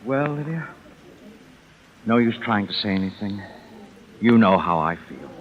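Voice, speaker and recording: A man's voice: lowish voice, very animated, measured pace. An actor playing a solicitous theatrical manager who hides his guilt, in hesitant 1950s radio drama style. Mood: solicitous, subdued. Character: smooth-talking, solicitous male theatrical manager hiding guilt. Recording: muffled, noisy vintage radio recording.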